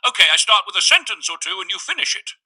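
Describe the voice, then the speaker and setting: Cheery, unhinged young man, as a male narrator puts him on; high sing-song voice, sharp and bouncy. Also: very animated, quick pace, exaggerated voice, rough recording. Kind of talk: storybook character voice.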